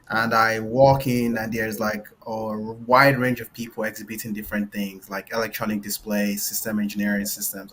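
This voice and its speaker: A man's voice: fairly low pitch, fairly bright tone, slight background noise. Nigerian-accented man telling a story casually. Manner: loose, filler-heavy, tags lines with "right?". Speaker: panelist, engineer and founder.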